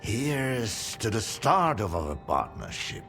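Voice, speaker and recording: Very slow, gravelly dealer. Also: male voice, fairly low pitch, big pitch swings, over music, exaggerated voice. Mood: sly. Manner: drawling, deliberate. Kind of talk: video game cutscene acting.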